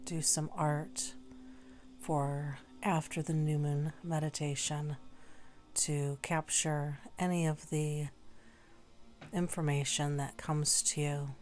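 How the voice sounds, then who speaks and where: A woman leading a guided meditation in a gentle, unhurried way, leaving long pauses between short phrases. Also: low voice. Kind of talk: slow guided meditation narration.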